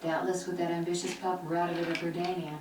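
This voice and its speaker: Lady doing a calm matter-of-fact sorceress, lowish voice, echoey far off with hiss. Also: fast talker, natural voice. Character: composed female sorceress advisor. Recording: echoey distant game audio with noise.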